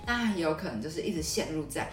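A woman with a low voice talks as a podcast host, explaining in a conversational, looping way. She sounds slightly distant in a roomy space, with music underneath. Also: animated, fast.

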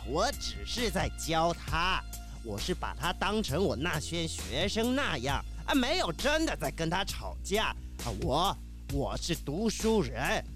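A man's voice: a little high, big pitch swings, unhurried, over music. A man playing a fussy, self-righteous kiwi bird professor in a children's audio drama, pedantic and defensive. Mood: defensive, indignant. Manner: pedantic, indignant, hesitant interjections.

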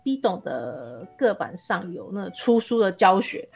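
A woman's voice: mid-range voice, very animated, a little slow, vocal fry, a little mellow, breathy edge, soft background music. A woman with a Taiwanese Mandarin accent chatting casually as a guest author, self-deprecating and exclamatory. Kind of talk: casual chat.

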